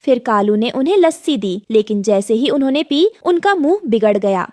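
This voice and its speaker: A woman's voice: on the high side, animated, normal speed, a little mellow, no echo, studio quality. A woman narrating a scripted moral story for a cartoon as its storyteller.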